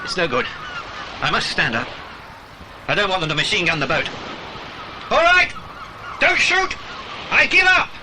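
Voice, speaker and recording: A man with a British accent playing a brisk, hearty, avuncular agent in a children's audio adventure, speaking with jovial old-fashioned turns of phrase. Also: sits high, huge pitch swings, full character voice. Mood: urgent. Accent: British English. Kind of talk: dramatised children's audiobook acting. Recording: noisy dramatised audio with effects.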